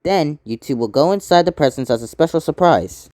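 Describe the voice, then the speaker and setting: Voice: mid-range voice, animated, brisk, warm tone, dry recording, studio quality. A person doing amateur cartoon voice acting in a theatrical way, switching between characters.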